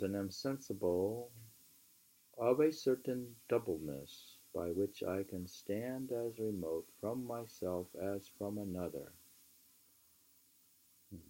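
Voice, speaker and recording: A man reading literature aloud in an emphatic, deliberate, musing way, with informal asides. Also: on the low side, mellow, poor audio. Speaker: reader.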